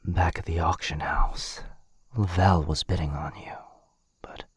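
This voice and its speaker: A man playing a gentle, protective aristocratic vampire lord in an intimate ASMR roleplay, speaking in a hushed, husky near-whisper with hesitant, reassuring pauses. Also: sits low, steady tone, no echo, clean studio sound, full character voice. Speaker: voice actor in audio roleplay.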